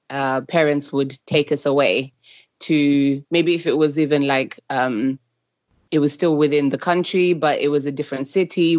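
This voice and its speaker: A woman's voice: deep voice, dry recording, highs cut off. A woman from Zimbabwe who grew up partly in the UK, answering as an interview guest in a conversational way and rephrasing as she thinks aloud. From Zimbabwe, moved to the UK around age ten. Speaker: podcast guest, travel brand founder.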